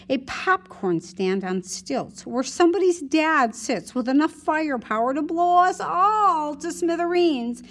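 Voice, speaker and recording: A woman teacher reading a novel aloud in expressive, conversational first-person narration, like a classroom read-aloud. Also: on the high side. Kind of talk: read-aloud storytelling with brief teaching asides.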